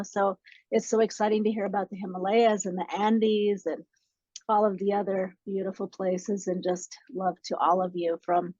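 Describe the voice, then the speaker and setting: A woman gives a thankful, enthusiastic update while calling in from an airport. Her pitch stays fairly flat, and the phone audio sounds dry and dull. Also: dry recording. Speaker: meditation call participant. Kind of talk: casual spoken update.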